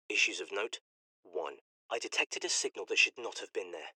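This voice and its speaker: An aggrieved technician logging in a sci-fi game. His voice is low, rough and pressing, as he insists and justifies himself. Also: sharp tone, dry recording, heavily acted. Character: defensive, aggrieved male sci-fi communications technician.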